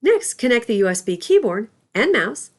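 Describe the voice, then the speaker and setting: A woman's voice: a little high, very animated, normal speed. A woman instructor reading a scripted tutorial in a careful, instructional way.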